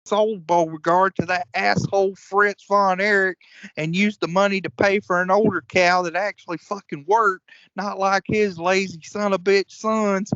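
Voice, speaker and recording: A man's voice: high-pitched, fairly bright tone, clear, resonant tone, no echo, highs cut off. A man acting in a comedic audio play as a crude, hot-tempered old-school wrestler, folksy and profane. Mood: exasperated.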